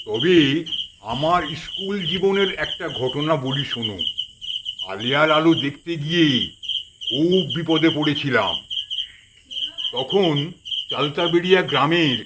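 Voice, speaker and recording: A man playing a calm, reassuring village priest who explains and tells stories in a cartoon. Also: a little high, heavy background noise. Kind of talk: cartoon storytelling.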